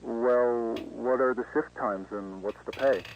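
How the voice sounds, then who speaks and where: A man's voice: mid-range voice, unhurried, faint music behind, lightly acted. A man playing a polite, laid-back young job seeker, speaking hesitantly and casually in an acted phone conversation. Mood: casual, interested. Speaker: voice actor in an analog horror video. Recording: dull, lo-fi phone-call sound.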